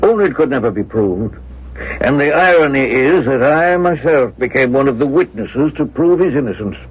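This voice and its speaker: Grieving, bewildered male storyteller, medium pitch, husky, earnest, old muffled radio-play sound. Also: expressive, moderate pace. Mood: confiding. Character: grieving, bewildered Massachusetts man recalling his lost love. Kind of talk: 1970s radio drama acting. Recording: muffled vintage radio broadcast.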